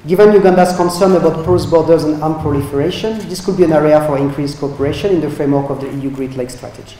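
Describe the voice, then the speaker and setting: A man delivering a formal prepared speech at a workshop in a measured, read-out manner. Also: on the high side, mellow. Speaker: EU representative giving a speech. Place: conference hall. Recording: echoey conference hall, faint noise.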